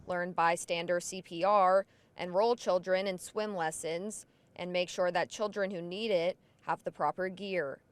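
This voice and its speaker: A woman field reporter delivering a scripted local news story in a measured, clear way. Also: fairly low pitch, steady tone.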